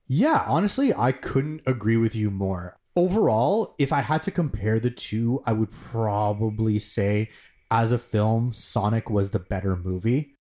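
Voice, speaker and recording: A man co-hosting a casual podcast about movies. His pitch jumps around a lot as he rambles, and he talks with excitement. He restarts often and leans on fillers. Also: measured pace. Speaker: podcast co-host.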